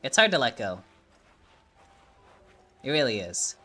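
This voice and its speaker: A man rambles casually while playing through a story game, his pitch rising and falling a lot. His tone is bright, with faint game music under his talk. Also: very animated, measured pace. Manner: rambling, chatty, swears casually. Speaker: game streamer. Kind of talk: casual gameplay commentary.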